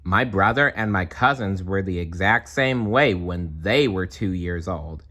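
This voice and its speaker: A man narrates a children's storybook with expressive phrasing and simple asides for young listeners. His voice is fairly low, slow-paced and lively in intonation. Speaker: storybook reader. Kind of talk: children's read-aloud with casual asides.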